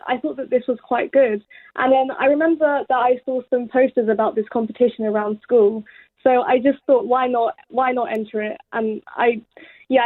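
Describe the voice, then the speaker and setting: A woman's voice: fairly high pitch, dry recording. A seventeen-year-old young woman with a British accent, answering interview questions conversationally. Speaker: interview guest, young poet. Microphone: remote call to radio studio. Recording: dull phone-like line.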